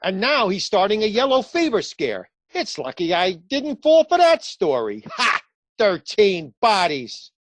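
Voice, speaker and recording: An actor playing a brusque, impatient police lieutenant, gruff and incredulous, in a radio theatre comedy. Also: male voice, high voice, huge pitch swings, unhurried, full character voice. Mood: incredulous, annoyed.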